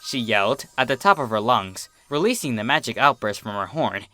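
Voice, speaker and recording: A man reading a story as narrator, in an expressive, dramatic scripted style. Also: mid-range voice, fairly quick, no echo. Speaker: fan fiction narrator.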